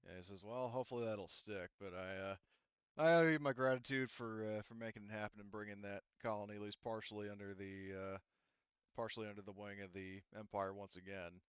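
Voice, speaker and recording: A man's voice: lowish voice, steady tone, fairly quick, smooth, dry recording, highs cut off. A man running a tabletop roleplaying game as game master, talking casually with many fillers. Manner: casual, lots of fillers and restarts.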